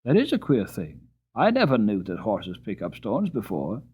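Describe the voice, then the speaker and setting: A man voicing a careless, loud-mouthed hired driver who blusters and drags out his exclamations. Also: on the low side, huge pitch swings, moderate pace, husky, exaggerated voice. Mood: puzzled. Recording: tinny phone-like audio.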